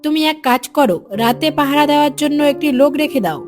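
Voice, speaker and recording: Lady doing a high nagging village wife, cartoon, practical, loud plucky music behind. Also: animated, fast. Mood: chiding. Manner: chiding, no-nonsense. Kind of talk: cartoon voice acting.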